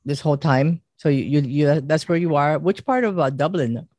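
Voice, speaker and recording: A man hosting his own interview podcast, asking halting questions with fillers. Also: on the high side, a little mellow, no echo. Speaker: podcast host.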